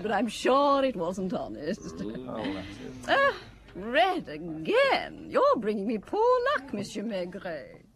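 Flighty, bitter gambling mother, middle-aged,, rambling and offhand, very lively pitch, radio drama.

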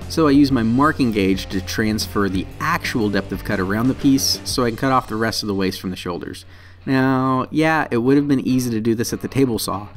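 A man in his thirties, a channel host, narrating a woodworking build in a plain, practical and self-deprecating way. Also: on the low side. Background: soft guitar music.

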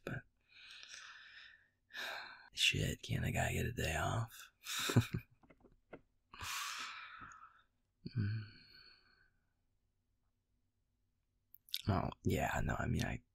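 A man plays an easygoing, affectionate young boyfriend in an intimate audio roleplay. His low voice is thick with gravel and very breathy, recorded close and dry. He talks softly and haltingly. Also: dry recording, heavily acted. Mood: lazy, mildly weary.

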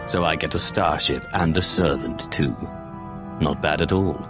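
An actor playing a confident, dry Sith apprentice in a video game, with a cool, sardonic, measured delivery. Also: male voice, very deep voice, over music. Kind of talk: video game character dialogue.

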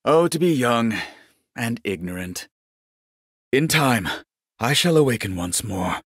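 An old warrior monk from a video game, genial and a little vain. His husky voice swings widely in pitch as he delivers courtly, wryly pious lines at an unhurried, slow pace. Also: expressive, a bit bright, dry recording, studio quality, full character voice. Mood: calm, wry. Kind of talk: scripted game character voice lines.

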